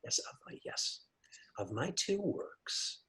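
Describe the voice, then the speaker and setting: Pompous, halting male professor. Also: medium pitch, very animated, bright, forceful tone, exaggerated voice. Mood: grandiose. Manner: halting, theatrical, stammering when challenged. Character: pompous, thin-skinned male professor and would-be playwright. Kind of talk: scripted comedy acting on a video call.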